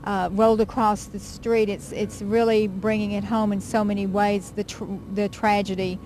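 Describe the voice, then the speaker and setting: Female local news anchor, flat intonation, mid pitch, measured and sober, faint noise behind. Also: low-quality recording. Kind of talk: unscripted live news reporting.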